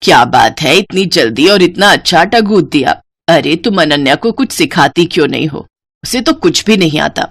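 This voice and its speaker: Woman voicing a low-pitched, bossy Indian mother-in-law. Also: expressive, normal speed. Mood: brisk, approving. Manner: bossy, nagging, easily fooled. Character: scolding, gullible middle-aged mother-in-law. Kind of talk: acted dialogue in a Hindi moral story.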